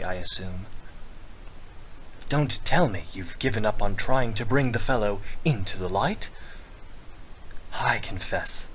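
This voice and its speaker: A man's voice: medium pitch, a little hiss or hum, low-quality recording. A man voicing an urbane, smug young dragon prince with a mannered, formal, teasing delivery.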